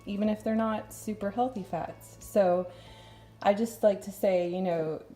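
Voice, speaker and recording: A woman's voice: medium pitch, steady tone, a little slow, dark tone, faint music behind. A woman from Texas with a light Texan accent, chatting casually through a home cooking demo in her kitchen, rambling and laughing often.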